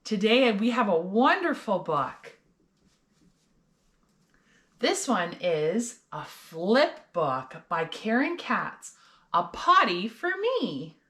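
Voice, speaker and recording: A woman reading a picture book aloud to young children in an expressive, sing-song storyteller manner. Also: medium pitch, slow pace. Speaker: children's story reader, channel host.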